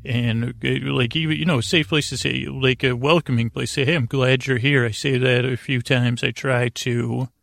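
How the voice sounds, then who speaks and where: A man hosting a bedtime podcast in a creaky, mumbling voice, meandering off on tangents. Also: mid-range voice, fairly even intonation, normal speed, few pauses, fairly bright tone, no echo. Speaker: podcast host. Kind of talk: rambling bedtime podcast intro.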